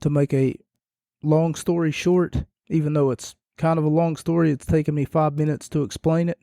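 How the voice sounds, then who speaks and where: A man hosting a solo podcast, rambling and self-deprecating, his voice going hoarse and quiet. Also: a little high, little pitch movement, normal speed, no echo, clean studio sound. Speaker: podcast host. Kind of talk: casual solo podcast recap.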